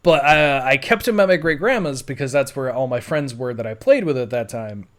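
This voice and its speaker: A man's voice: mid-range voice, expressive, fairly quick. An American man telling his life story casually as a podcast host, rambling and swearing freely. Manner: rambling, foul-mouthed.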